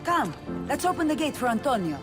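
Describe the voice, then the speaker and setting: A woman's voice: sits high, big pitch swings, fairly quick, music in the background. A woman playing a spirited, teasing thief in a video game. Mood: playful. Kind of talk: video game cutscene acting.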